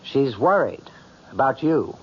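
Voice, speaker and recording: An actor playing a sceptical friend who questions in a knowing, measured way, in old-time radio mystery style. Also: male voice, mid-range voice, very animated, slight background noise. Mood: curious, knowing. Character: probing, sceptical male friend, calmly needling. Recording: muffled old radio recording.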